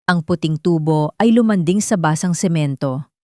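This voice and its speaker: A woman's voice: low voice, moderate pace, a little mellow, dry recording, studio quality. A woman narrator reading a scripted, dramatic love story.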